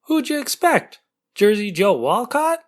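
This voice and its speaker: A woman's voice: mid-range voice, very animated, unhurried. A woman playing a cheeky, wisecracking teenage boy in 1940s-style radio comedy. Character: wisecracking, laid-back teenage boy sidekick. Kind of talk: 1940s radio sitcom acting.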